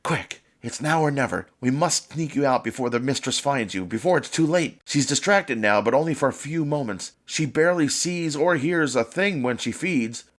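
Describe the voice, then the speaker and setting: A man voicing a weary, doomed young boy who talks in a hushed, urgent way. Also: mid-range voice, expressive, moderate pace, hardly any pauses, close to an everyday voice.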